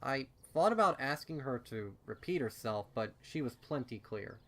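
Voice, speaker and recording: A man giving an expressive first-person reading of a fan fiction story as narrator. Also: mid-range voice, moderate pace. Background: music under the voice.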